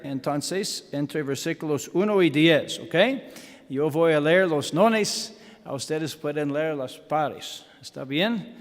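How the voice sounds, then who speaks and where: A man with an American accent, a native English speaker, preaching in a church in halting Spanish with English fillers and self-deprecating humor. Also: on the high side, big-room sound. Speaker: guest pastor. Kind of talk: sermon with Bible reading. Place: church sanctuary.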